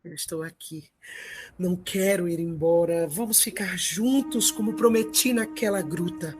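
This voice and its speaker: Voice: medium pitch, normal speed, a little mellow, slightly rough, faint music behind, close to an everyday voice. A performer with a Brazilian accent playing a valiant, devoted Trojan hero and father in a radio drama. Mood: tender, remorseful.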